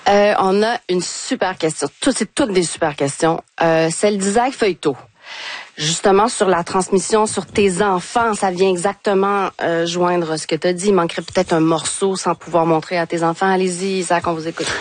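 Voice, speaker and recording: Low-pitched woman hosting an interview. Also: steady tone, moderate pace. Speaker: interview host. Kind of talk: conversational live interview.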